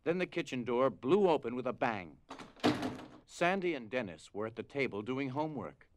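A man narrating a scripted drama as storyteller, with grave, deliberate phrasing. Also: medium pitch, lively intonation, background noise.

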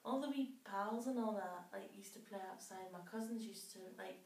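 A woman's voice: mid-range voice, normal speed, a little mellow. Middle-aged English-accented woman, distant roomy recording. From born in Scotland, brought up mainly in England. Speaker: nurse. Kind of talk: casual family chat. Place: domestic living room, indoors. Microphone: camera a few metres away. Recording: roomy home recording, distant mic, background noise.

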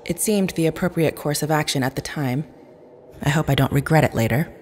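A woman's voice: low voice, a little mellow, slight background noise, natural voice. Woman playing a cold, formal otherworldly woman. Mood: solemn, composed. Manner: measured, formal phrasing. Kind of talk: audio drama acting.

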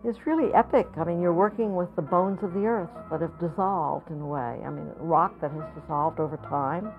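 A woman's voice: on the low side, moderate pace, soft background music. Thoughtful older woman, muffled lo-fi sound. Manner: thoughtful, searching for words, repeats herself. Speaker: potter and art school founder. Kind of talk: reflective interview talk. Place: studio or home with pottery shelves.

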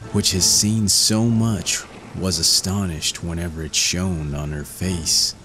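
A man's voice: low-pitched, fairly bright tone, slight background noise. A man reading a fairy tale as storyteller, in scripted narration.

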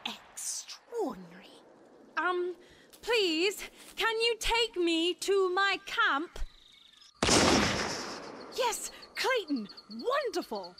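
Flustered, high-pitched young British lady, acted by a woman. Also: big pitch swings, drawn-out words, pauses often, heavy background noise, full character voice. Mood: curious, eager. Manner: breathless, stammering, chattering, proper. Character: flustered, prim young Victorian Englishwoman lost in jungle. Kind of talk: animated film fan dub acting.

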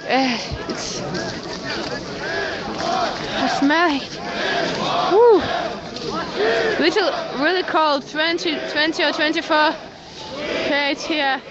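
A young Ukrainian woman with a Ukrainian accent, streaming live from a cold city street in halting English with many restarts, speaking into a phone. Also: high-pitched, heavy background noise. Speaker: citizen journalist. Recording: lo-fi phone stream with crowd around. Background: crowd of protesters.

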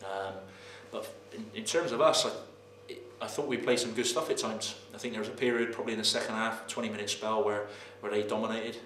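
A footballer in his thirties gives a post-match interview in a low, flat voice. He talks haltingly, often repeating words and pausing on "um". The sound is roomy with a faint noise behind. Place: press room in front of sponsor board. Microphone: camera mic, head and shoulders framing.

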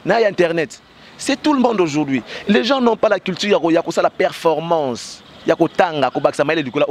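Emphatic Congolese man, higher voice, with a cold. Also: a little hiss or hum. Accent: Congolese French. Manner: passionate, emphatic, heavy "bah" fillers, gestures while talking. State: has a cold. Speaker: interview guest. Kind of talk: street interview, mixing French and Lingala. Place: outdoors on a street by parked cars. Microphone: handheld mic with fur windscreen held by interviewer.

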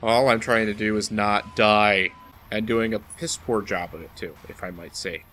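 A man's voice: on the low side, steady tone, normal speed, fairly bright tone. A man giving casual, wry and self-deprecating gameplay commentary as he talks to the game he is playing. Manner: wry, self-deprecating, talking to the game's boss. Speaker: let's play host. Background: video game music.

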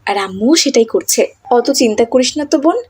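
A woman plays a scheming cartoon teenage girl with a high voice and slightly husky edge. She wheedles and brags breezily, like an older sister set on fame. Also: lively intonation, brisk, darkish tone. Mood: breezy, boastful.

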